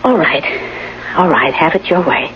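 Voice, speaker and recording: An actress playing a protective, no-nonsense caregiver in a radio drama, firm and reassuring in manner. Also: female voice, deep voice, animated, fairly bright tone. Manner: firm, reassuring, brisk dismissals. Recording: muffled, hissy old radio broadcast.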